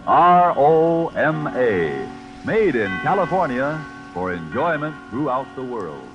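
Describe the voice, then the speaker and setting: A man's voice: medium pitch, sing-song, slow, over music. A man giving formal, emphatic sponsor announcements in 1940s radio style. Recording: dull vintage radio broadcast.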